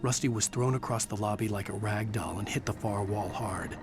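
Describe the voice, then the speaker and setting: A man narrating a horror video game in a measured, brooding voice as the writer lead. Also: on the low side, music in the background.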